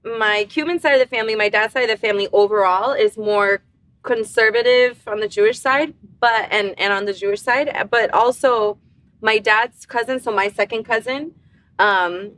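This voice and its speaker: A young adult woman from Miami, answering interview questions in a relaxed, slightly hesitant way. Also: fairly high pitch, moderate pace. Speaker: guest author.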